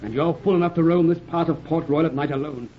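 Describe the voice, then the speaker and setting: An earnest, concerned companion to a buccaneer played by a man in a vintage radio play. His fairly high voice is urgent and sincere. The recording is dull and faintly noisy. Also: fast, close to an everyday voice. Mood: urgent, worried. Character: earnest, concerned male ally of a pirate captain. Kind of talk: old time radio drama acting.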